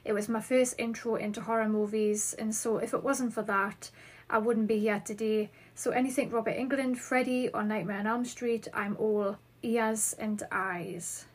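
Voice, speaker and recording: A woman in her thirties speaks with a Geordie accent, her voice sitting a little high for a woman. She hosts a solo podcast in a chatty, upbeat way, working through questions sent in by listeners. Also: steady tone, normal speed, husky. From northeast England. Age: 30s. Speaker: podcast host.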